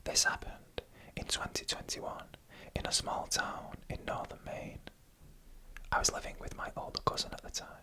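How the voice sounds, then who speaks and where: ASMR storyteller for creepy tales: fully whispered, breathy, bright, hushed and deliberate phrasing. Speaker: narrator reading online stories.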